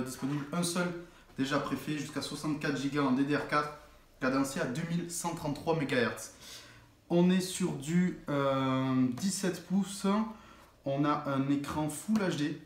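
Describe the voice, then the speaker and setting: A man's voice: fairly high pitch, normal speed. A young man chatting casually as he unboxes a laptop, hesitant and full of fillers and restarts. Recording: echoey room with faint noise.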